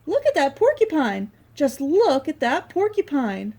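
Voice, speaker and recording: A woman's voice: extremely high pitch, very animated, moderate pace, a little mellow, pitched above the performer's own voice, full character voice. A woman voicing a wise, motherly female porcupine who exclaims eagerly.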